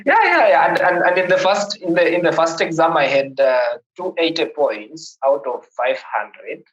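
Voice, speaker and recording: A man's voice: on the high side, lively intonation, normal speed, muffled recording. A man from Kenya, with a Kenyan accent, in his thirties, telling a podcast host his life story in a reflective way and often repeating words as he begins sentences. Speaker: guest.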